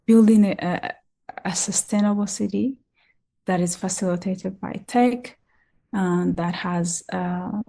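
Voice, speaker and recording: A young woman from Ethiopia talks as a podcast guest, slowly and with frequent pauses and filler words. Her voice sits a little low and sounds mellow and soft. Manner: hesitant, many ums and restarts. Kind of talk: casual interview talk.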